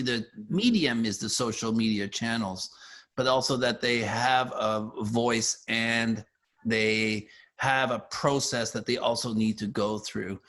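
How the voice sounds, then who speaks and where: A man interviewing conversationally, summarizing and asking questions in a wordy, halting way as he rephrases himself. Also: medium pitch, fairly bright tone.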